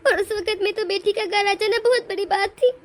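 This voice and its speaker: A woman's voice: very high voice, fairly even intonation, brisk. A woman voicing a weeping, downtrodden mother-in-law. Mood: tearful. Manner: sobbing, resigned. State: crying. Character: long-suffering middle-aged mother-in-law, meek and tearful.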